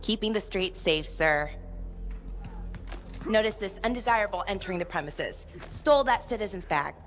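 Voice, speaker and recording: An actress playing an eager young noblewoman serving as a soldier in a video game, speaking briskly and earnestly. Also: mid-range voice, lively intonation, lightly acted. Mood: flustered. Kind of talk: video game dialogue acting. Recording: music behind the voice.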